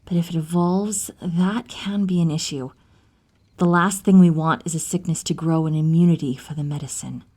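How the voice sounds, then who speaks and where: A woman acting an inquisitive, determined divine healer and wife in an ASMR audio roleplay, soft and thoughtful, at times whispery. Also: low-pitched, fairly even intonation, normal speed, breathy edge.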